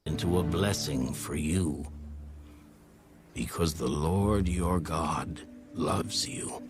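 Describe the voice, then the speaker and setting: A man reading scripture as scripted audiobook narration in a measured, solemn manner. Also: a bass voice. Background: background music.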